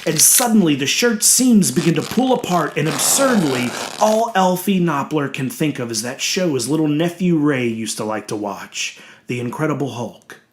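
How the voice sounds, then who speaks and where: A man reading a horror story as dramatic, scripted narration, deliberate and suspenseful, dwelling on his words. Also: fairly high pitch, animated, slight background noise.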